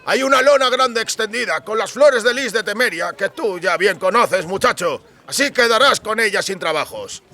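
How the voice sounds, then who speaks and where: A man's voice: sits high, lively intonation, normal speed, few pauses, sharp tone, voice pushed up, heavily acted. A man voicing a brash, jovial mercenary soldier with a hearty, folksy way of talking in an audiobook. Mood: friendly. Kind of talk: audiobook character acting.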